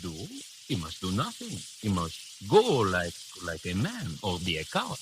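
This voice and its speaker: A deferential French steward, in 1930s radio drama. The low voice has a French accent and extremely lively intonation, with clear hiss behind it. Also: male voice, moderate pace. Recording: very hissy 1930s radio recording.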